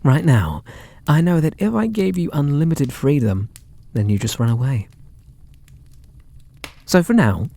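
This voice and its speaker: An actor with a British accent playing a possessive, lovestruck kidnapper in an ASMR roleplay, sweet and doting in a theatrical, intimate way. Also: male voice, mid-range voice, warm tone, no room sound. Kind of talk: ASMR roleplay audio drama.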